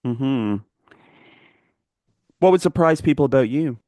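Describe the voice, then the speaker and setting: A man's voice: medium pitch, expressive, a little slow, warm tone, no room sound, muffled recording. A man hosting a casual political interview. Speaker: interview host.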